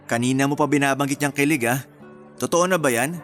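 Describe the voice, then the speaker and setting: A man's voice: medium pitch, a little fast, a bit bright, close to an everyday voice. An actor playing a teasing, smitten man in his thirties in a Filipino radio romance drama. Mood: flirtatious. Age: 30s. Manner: playful, flirtatious teasing. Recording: music bed under the dialogue.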